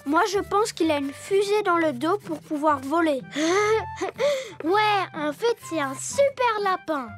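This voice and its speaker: A woman voicing a bright, confident little animal child in a French cartoon dub. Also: very high voice, lively intonation, measured pace, soft background music. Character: small child animal, bright and know-it-all. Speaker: voice actor.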